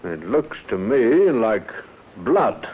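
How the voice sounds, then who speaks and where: A man's voice: fairly low pitch, big pitch swings, very slow, slightly rough, exaggerated voice. An actor playing an authoritative male police inspector in a radio drama, clipped, official and probing. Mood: firm, official. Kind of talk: 1950s-style radio drama acting. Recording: thin, narrow-band vintage radio recording.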